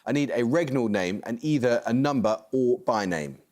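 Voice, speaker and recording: A man hosting a student quiz show, reading questions and giving rulings in a crisp, brisk manner. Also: mid-range voice, animated, darkish tone. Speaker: quizmaster.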